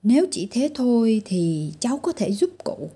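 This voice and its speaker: Woman reading as a husky, resolute young wanderer. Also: fairly high pitch, big pitch swings, normal speed, mellow. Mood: kind. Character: kind young wandering man in bearskin.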